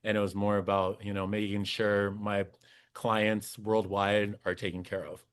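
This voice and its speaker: Lowish-voiced young man, earnest. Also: measured pace, smooth. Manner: restarts often, earnest and animated. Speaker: podcast guest. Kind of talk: casual interview chat.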